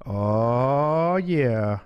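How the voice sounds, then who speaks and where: A man co-hosting a casual music livestream from a studio, quick and joking as he picks the songs. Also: mid-range voice, animated, smooth, highs cut off. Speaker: co-host. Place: studio with red curtains. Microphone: studio mic on a boom arm.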